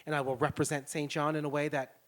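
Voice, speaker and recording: A man's voice: fairly high pitch, flat delivery, fast. A man speaking as a panelist at a public event, emphatic and impassioned. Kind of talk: panel discussion.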